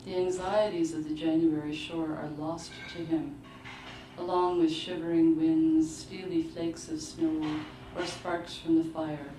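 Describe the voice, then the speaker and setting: Slow, low-voiced woman reading in a noisy room. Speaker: author reading at a book launch. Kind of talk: literary reading of short fiction. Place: live book launch in an indoor venue. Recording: roomy, distant mic, clearly noisy live venue.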